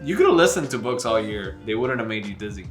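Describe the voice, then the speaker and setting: A man's voice: on the low side, big pitch swings, quick pace. A man co-hosting a book vlog in casual conversation, with emphatic, wryly teasing delivery. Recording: roomy echo, faint music behind.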